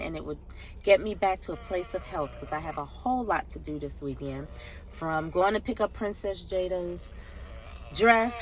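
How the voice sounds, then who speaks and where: Middle-aged woman vlogger, nasal and hoarse with a cold, slightly low, upbeat chat to camera in a car. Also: slight background noise, rough recording. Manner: chatty, upbeat, speaks to viewers as "tribe". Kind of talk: casual vlog chat to camera.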